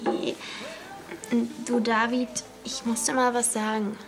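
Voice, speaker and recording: A woman's voice: on the high side, flat delivery, slow pace, music in the background. A young actress playing a hesitant, conciliatory teenage schoolgirl with a crush in a TV drama. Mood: hesitant, earnest. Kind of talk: scripted TV drama dialogue.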